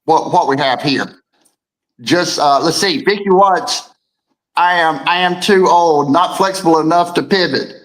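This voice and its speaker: Male co-host, deep voice, rambling conversational delivery, reads comments aloud. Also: a little slow, fairly bright tone, rough recording. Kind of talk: casual morning show chat.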